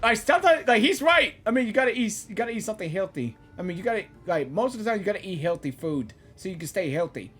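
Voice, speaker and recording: A woman hosting a casual game reaction, expressive and giggly, often repeating her phrases. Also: mid-range voice, fast, hardly any pauses. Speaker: gaming reaction host.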